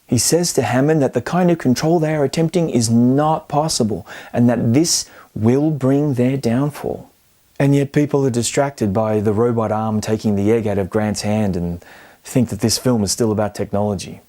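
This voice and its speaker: A man's voice: medium pitch, normal speed, dark tone. A man hosting a scripted film video essay, speaking in an emphatic, playfully argumentative and colloquial way. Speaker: film commentary host.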